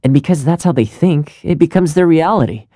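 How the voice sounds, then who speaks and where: Man playing an animated, easygoing young retainer. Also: a little high, a little fast, no room sound, clean studio sound, lightly acted. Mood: warm, reflective. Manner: chatty, earnest, conversational with light chuckles. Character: friendly, easygoing young retainer man, loyal and good-natured. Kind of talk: video game character voice lines.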